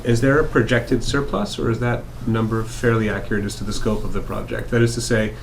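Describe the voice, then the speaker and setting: A hoarse man asking a question at a town meeting in a halting way, restarting his phrases. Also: mid-range voice, steady tone, a little hiss or hum.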